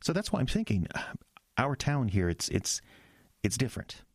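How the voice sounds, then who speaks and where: An actor playing an earnest, troubled young pastor in an audio drama, stammering and hesitant, with an American accent. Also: male voice, mid-range voice, very animated, moderate pace, husky, dry recording, studio quality. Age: young adult.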